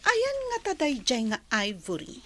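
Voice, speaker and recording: Shrill, envious office gossip. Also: female voice, huge pitch swings, a little slow, pushed and bright, slight background noise, exaggerated voice. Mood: complaining. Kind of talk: radio drama acting.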